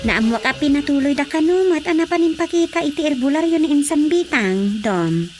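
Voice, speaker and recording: A woman's voice: sits high, lively intonation, a little fast, music in the background. An actress playing a worried village woman in a radio drama. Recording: radio drama with faint noise.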